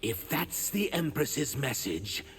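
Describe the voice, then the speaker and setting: An actor playing an earnest, dutiful shapeshifting reptilian emissary who carries grief, in video game dialogue with noisy sound. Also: male voice, mid-range voice, big pitch swings, slow pace, a bit bright. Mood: firm.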